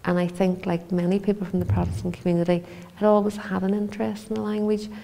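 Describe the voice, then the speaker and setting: A woman's voice: mid-range voice, little pitch movement, fast talker, creaky, mellow. A woman with a Belfast accent, speaking earnestly in a documentary interview about her community. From East Belfast, Northern Ireland. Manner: earnest, heartfelt. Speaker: community language organiser.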